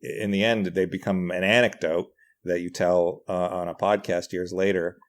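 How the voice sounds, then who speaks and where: Low-voiced middle-aged man. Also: little pitch movement, moderate pace. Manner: self-deprecating, hesitant. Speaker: podcast guest, writer and comedian. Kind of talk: casual conversational anecdotes.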